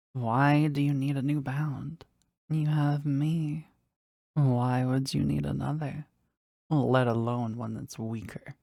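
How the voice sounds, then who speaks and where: A powerful demon, played by a woman speaks slowly and intimately in a gravelly low voice. The phrasing is formal and reverent, possessively devoted to a master, as in a scripted audio drama recorded close and dry. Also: darkish tone, no echo, full character voice. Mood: hurt, jealous. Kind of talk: scripted roleplay audio drama, addressed to the listener.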